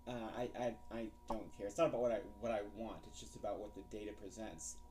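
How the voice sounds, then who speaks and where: A man's voice: lowish voice, steady tone, quick pace, mellow, faint music behind. A man in an argumentative phone debate, pressing his questions persistently and challenging the other side.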